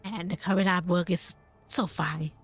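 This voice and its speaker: A woman's voice: fairly low pitch, fairly even intonation, normal speed. A woman livestreamer reacting casually to a game with slangy, offhand remarks. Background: game music.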